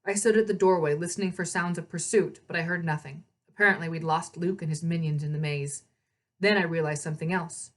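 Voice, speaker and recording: A woman reading a story aloud as narrator, in an expressive storybook style. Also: lowish voice, fairly quick.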